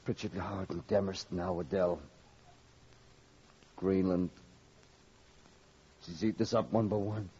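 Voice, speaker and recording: A man's voice: low voice, steady tone, measured pace, long pauses, warm tone. An actor playing a tired, despairing crewman stranded in the wild, in 1940s radio drama style. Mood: bleak, bitter. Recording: dull vintage radio recording, faint noise.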